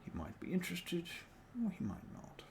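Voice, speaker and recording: A tired man from New Zealand talks his way through a livestream, thinking aloud and muttering to himself in a put-on accent. His voice sits a little high and swings up and down a lot, with a gravelly edge. A faint hiss sits under the talk. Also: very animated, a little mellow. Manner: deliberately speaking in a put-on non-native accent. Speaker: dungeon master and streamer. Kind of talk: casual livestream chat while working.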